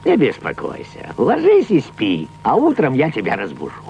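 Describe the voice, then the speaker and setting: An actor voicing a greedy, bad-tempered rich landowner in an animated film, blustering and muttering. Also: male voice, mid-range voice, normal speed, gravelly, lo-fi sound, a little hiss or hum. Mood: sly, ingratiating. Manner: blustering, exclaiming, muttering to himself. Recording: music behind an old cartoon soundtrack.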